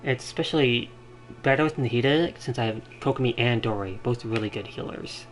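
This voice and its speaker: A man streaming a video game, commenting casually and thinking out loud in a rambling way. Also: medium pitch, a little fast. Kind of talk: casual live gameplay commentary. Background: video game music.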